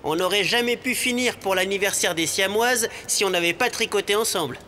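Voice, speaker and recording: A man's voice: high voice, brisk, sharp tone, a little hiss or hum. A man voicing a chummy knitting animal in a children's cartoon, in a long hollow reverb. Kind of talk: children's cartoon dubbing in French.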